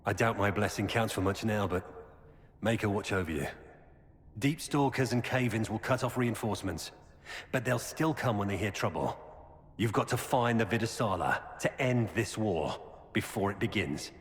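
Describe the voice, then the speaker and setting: Actor as low, lively earnest soldier man. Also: normal speed, natural voice. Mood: grave. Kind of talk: video game voice acting. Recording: reverberant, noisy ambience.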